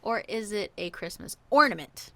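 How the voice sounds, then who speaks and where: Woman, podcast co-host, casual game chat, giggly, soft short answers, lively pitch. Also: moderate pace, dry recording.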